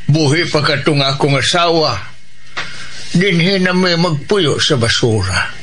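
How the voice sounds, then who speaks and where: An actor playing a gentle old widower who lives at a dumpsite, speaking hesitantly with a Filipino Bisaya accent in a radio drama. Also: male voice, a little high, lively intonation, a little hiss or hum. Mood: wistful, halting. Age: older. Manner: halting, hesitant delivery. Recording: music behind the voice.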